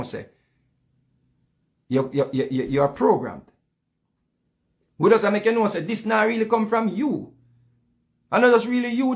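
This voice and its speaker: A man with a Jamaican accent giving casual, heated commentary in patois, often repeating himself. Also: fairly high pitch. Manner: heated, repetitive, speaks in patois.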